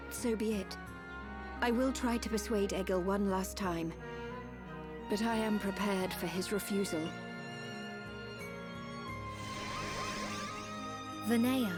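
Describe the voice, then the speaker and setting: A woman playing a solemn, wise and resolute guide in a dramatic video game, speaking in measured, formal phrases. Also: mid-range voice, music in the background, close to an everyday voice. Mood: grave.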